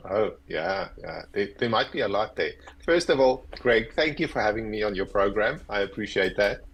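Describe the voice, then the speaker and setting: Easygoing South African man, fifties, lowish husky voice, self-deprecating guest on earbuds. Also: animated, moderate pace, fairly bright tone. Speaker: guest, agile coach. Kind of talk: casual interview chat. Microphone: earbuds on a video call.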